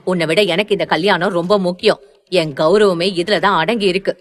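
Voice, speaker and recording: A woman's voice: fairly low pitch, steady tone, a little fast, close to an everyday voice. A woman playing a stern, domineering middle-aged mother in an audio story, scolding and threatening with emphatic delivery. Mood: menacing. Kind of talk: Tamil audio story acting.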